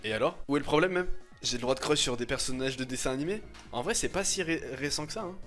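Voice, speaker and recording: A man, chatting casually on a livestream in a slangy, teasing, expressive way. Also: mid-range voice, fast talker, faint music behind. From France. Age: 20s. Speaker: livestreamer reacting to images and chat. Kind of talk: casual chat, livestream commentary.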